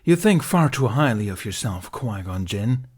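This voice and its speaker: A man's voice: on the low side, very animated, normal speed, a little mellow, husky. A man reading as a furious middle-aged knight. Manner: heated, accusing, raised voice.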